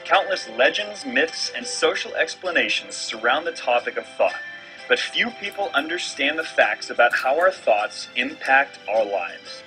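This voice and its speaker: A man's voice: mid-range voice, very animated, unhurried, pushed and bright, faint music behind, rough recording. A man delivering scripted documentary narration, heard as a re-recorded video playback.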